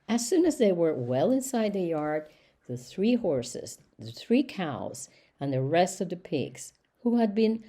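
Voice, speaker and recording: Woman reading a book out loud, low mellow voice, steady and measured. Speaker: audiobook reader. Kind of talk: reading a novel aloud. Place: educational reading recording.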